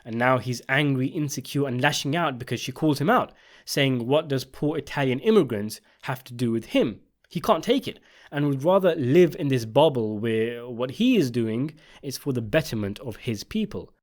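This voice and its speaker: A man's voice: mid-range voice, expressive, moderate pace. A man narrating a scripted video essay, giving opinionated commentary on a television drama.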